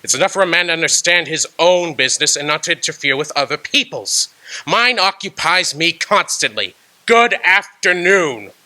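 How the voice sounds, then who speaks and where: A man's voice: on the high side, expressive, moderate pace, pushed and bright, poor audio. An actor playing a stingy, cold old male miser in a radio drama, curt and scornful, barking orders. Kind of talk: stage radio drama acting.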